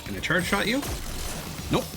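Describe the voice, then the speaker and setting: A man's voice: high-pitched, huge pitch swings, normal speed. A man live streaming a video game with casual, playful, joking commentary. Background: game music.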